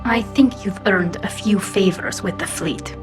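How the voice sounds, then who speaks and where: A woman playing a wistful, loyal, gently determined young alien crewmate in video game dialogue. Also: medium pitch, measured pace, over music, lightly acted.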